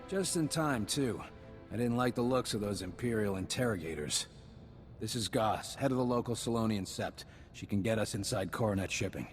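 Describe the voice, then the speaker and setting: An actor playing a wry, plain-spoken resistance fighter in a video game, with a dry, informal manner. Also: male voice, fairly low pitch, lively intonation, moderate pace, natural voice. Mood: wry, guarded. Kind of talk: scripted video game acting. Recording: game cutscene with music underneath.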